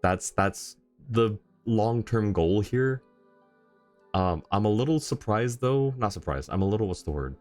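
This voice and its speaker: A man with a low voice talks casually as a game streamer. He is easygoing and often thinks aloud, with faint music in the background. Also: animated, unhurried, a little mellow, no room sound. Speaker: game livestreamer. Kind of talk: casual livestream chat.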